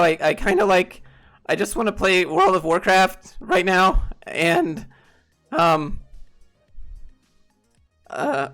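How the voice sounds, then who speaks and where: Soft-voiced man chatting casually on stream. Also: fairly high pitch, creaky. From Southern United States. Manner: relaxed, rambling, laughs often, many fillers. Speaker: streamer and host. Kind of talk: casual live-stream chat.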